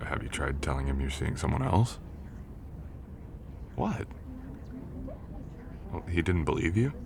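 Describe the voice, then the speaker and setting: Very deep-voiced young college jock, acted by a man. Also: gravelly, background noise, exaggerated voice. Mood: concerned, protective. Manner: teasing, gentle, conversational with stammers. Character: confident, teasing, protective college jock romancing a shy classmate. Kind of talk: intimate boyfriend ASMR roleplay.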